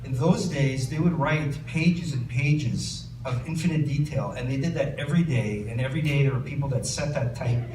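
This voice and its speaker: A man's voice: mid-range voice, moderate pace, few pauses. A Canadian man, an author, reading from his book and then answering audience questions at a bookstore event, with many fillers and restarts. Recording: roomy distant recording with background noise.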